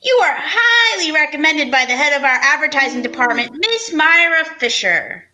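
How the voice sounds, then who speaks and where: A woman acts out a formal, self-important male department store executive for an old-time radio play. The voice is high and bright, with a very lively melody. The phrasing is stiff, businesslike and halting. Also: exaggerated voice, rough recording. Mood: uneasy, formal.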